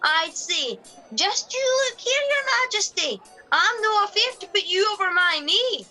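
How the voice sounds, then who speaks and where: Very high, animated Scottish cleaner. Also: female voice, unhurried, soft background music, exaggerated voice, poor audio. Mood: indignant, gossipy. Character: blunt, no-nonsense Scottish cleaning woman to royalty. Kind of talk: radio comedy play acting.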